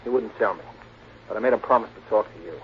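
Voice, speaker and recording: Man playing a fast-talking, anxious, stubborn suspect. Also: fairly low pitch, natural voice. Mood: terse, defiant. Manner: terse, insistent. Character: anxious, stubborn male murder suspect seeking to clear himself. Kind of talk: 1940s radio drama acting. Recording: muffled, noisy 1940s radio recording.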